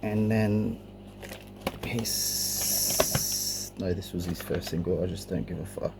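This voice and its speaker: Deep, gravelly man with noisy sound. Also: fairly even intonation, slow. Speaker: collector presenting a cassette collection. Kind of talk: casual show-and-tell narration. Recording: clearly noisy amateur home recording.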